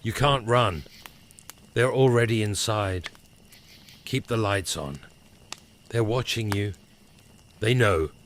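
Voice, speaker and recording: Man acting out a deep-voiced, ominous future self. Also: slow pace, pauses often. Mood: urgent warning. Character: narrator's frightened future self leaving warning voicemails. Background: crackling fire ambience.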